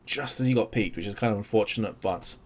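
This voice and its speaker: A man's voice: fairly low pitch, fast talker, a little hiss or hum. A young man casting a live esports match, his energy rising and falling with the action. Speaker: esports caster. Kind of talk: live play-by-play commentary.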